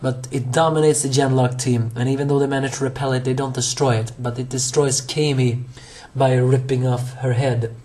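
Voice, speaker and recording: A hoarse young man reviewing an anime episode on his own, rambling with many fillers, restarts and self-corrections. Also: medium pitch, level tone, normal speed, hardly any pauses, dark tone.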